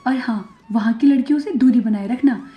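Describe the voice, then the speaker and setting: A high-pitched, middle-aged Indian mother-in-law played by a woman, suspicious and quick to scold. She complains dramatically in a cartoon moral-tale manner. The audio is dull, with music underneath. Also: lightly acted. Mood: cautioning. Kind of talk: Hindi animated moral story acting.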